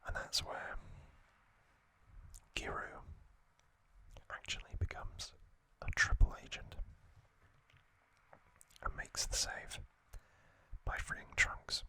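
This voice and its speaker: A man hosts ASMR in a breathy, unvoiced whisper. The sound is very crisp and bright, with no chest tone to it. He explains things very slowly and haltingly, pausing often between short phrases. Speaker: ASMR host. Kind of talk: whispered ASMR trivia recap, unscripted.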